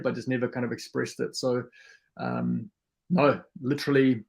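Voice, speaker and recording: A man from South Africa whose first language is Afrikaans, talking as a podcast guest in a relaxed, friendly way, with lots of ums and uhs. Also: medium pitch. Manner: relaxed and friendly, many fillers. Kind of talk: casual interview chat.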